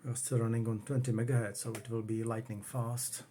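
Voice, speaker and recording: A man from the Czech Republic, speaking English with a Czech accent, calmly walking through a home computer demo in his workroom. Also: medium pitch, slight background noise. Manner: unhurried, plain, talks while working the computer. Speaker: retro computing hobbyist. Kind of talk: casual home demo walkthrough.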